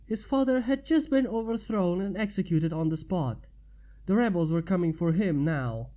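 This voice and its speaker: A woman's voice: on the low side, expressive, moderate pace, dark tone. A woman narrating fan fiction as a story reader.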